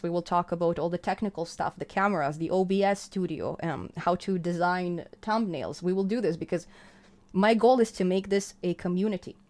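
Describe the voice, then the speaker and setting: A woman's voice: fairly low pitch, a little mellow. A woman with a Hebrew accent hosts her own live stream in a loose, chatty way. She reads the chat as it scrolls by and answers her viewers with lots of uh's and restarts. She pours out thanks to them. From Israel. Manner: chatty and effusive, gushing with thanks, many fillers and restarts. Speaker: channel host.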